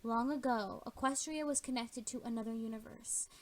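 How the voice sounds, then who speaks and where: A solemn royal alicorn from a fan audio play, read by a woman. She speaks in a measured, grave manner, keeping a high feminine voice while sounding regal. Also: close to an everyday voice. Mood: solemn, mysterious. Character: solemn, regal elder female alicorn princess.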